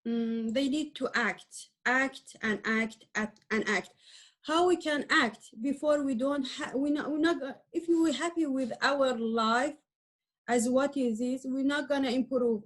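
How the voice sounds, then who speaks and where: A middle-aged woman from Iran, Arabic her first language, speaks English with a fairly high voice. She talks haltingly, pausing and restarting as she reaches for the right words. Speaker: adult learner guest. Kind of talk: interview answers.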